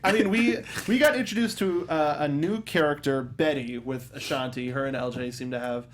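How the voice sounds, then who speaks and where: A man's voice: on the high side, moderate pace, a little hiss or hum. A man hosting a film review show in a casual panel chat, often slipping into mock quotes as he riffs. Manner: acts out mock quotes while riffing.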